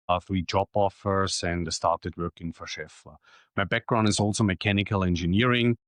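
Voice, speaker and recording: A middle-aged Austrian man whose first language is German speaks in a very low voice with a clear Austrian German accent. He answers questions plainly and matter-of-factly, often pausing on "uh". The recording is close and dry, with almost no room sound. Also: fairly bright tone, dry recording. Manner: plain, matter-of-fact, with frequent "uh" pauses. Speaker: guest. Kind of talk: casual interview answers.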